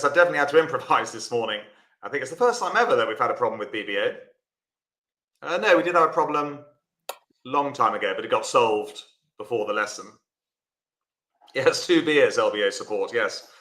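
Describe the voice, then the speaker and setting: A man teaching a live online lesson in a chatty way, greeting viewers as he explains. His voice is bright and forward. The room adds a clear echo. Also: medium pitch. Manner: chatty, talks to viewers by name while explaining. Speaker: bridge teacher.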